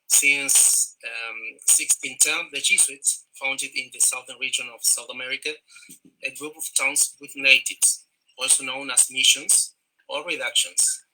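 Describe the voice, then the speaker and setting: A man from Argentina, a native Spanish speaker with an Argentine accent, giving a tourism presentation in halting English full of fillers and repeated words over a video call. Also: mid-range voice, bright, forceful tone, low-quality recording.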